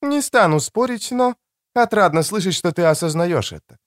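A man voicing an elegant mature woman doctor in an audiobook, tender, frank and gently teasing, with soft expressiveness. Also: high-pitched, expressive, moderate pace, no room sound, clean studio sound, pitched above the performer's own voice. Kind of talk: audiobook character dialogue.

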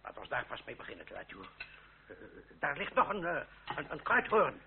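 A tinny, crackly old radio play has a stubborn nobleman. His gravelly voice swoops up and down as he blusters and sputters. He sounds proud and excitable. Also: fairly high pitch, normal speed. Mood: flustered. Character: stubborn, excitable old-school aristocrat chevalier, a proud hunter. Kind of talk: old Dutch radio drama acting.

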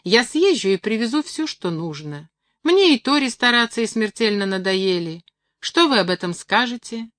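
A woman voicing an earnest, awkward gentleman admirer in an audiobook, courteous and somewhat solemn. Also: a little high, expressive, normal speed, no echo, studio quality, voice pushed up. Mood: courteous, eager.

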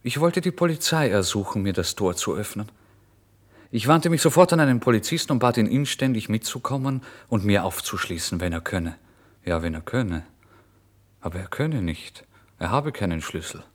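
A man's voice: lowish voice, lively intonation, normal speed. An actor playing a starving, impulsive male writer in a theatrical, changeable first-person literary monologue for radio. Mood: pleading, sheepish. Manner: theatrical, swinging from exclamations to hushed whispers. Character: starving, impulsive male writer recounting his misery and brazen lies.

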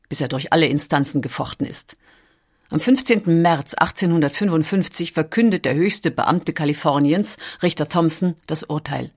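A woman reading scripted documentary narration in a measured, deliberate storytelling manner with weighty pauses. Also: low voice, darkish tone, slightly rough.

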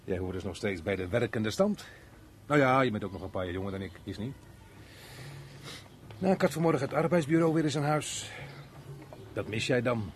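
A man's voice: medium pitch, animated, moderate pace, pauses often, slight background noise, lightly acted. A man acting in a Dutch radio play as a jovial, wry, middle-aged unemployed chatterbox who jokes with his neighbour. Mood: chatty, wry. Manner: chatty, joking, chuckling, wry.

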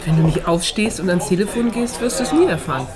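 An actress playing a sardonic, blunt friend with dry wit in a German radio crime drama. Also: female voice, mid-range voice, expressive, quick pace, crowd noise, lightly acted. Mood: wry, blunt.

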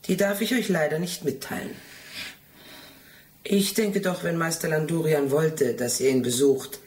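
An actress playing a stern, rule-bound archive gatekeeper in a radio play, formal and measured. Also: female voice, deep voice, darkish tone.

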